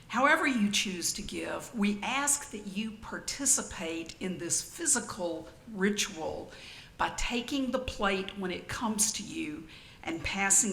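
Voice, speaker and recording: Slow, creaky-voiced woman leading worship. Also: a bit bright. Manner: formal, deliberate phrasing. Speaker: worship leader. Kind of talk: scripted church service announcements. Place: church service, indoors. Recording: echoey room, faint rumbling noise.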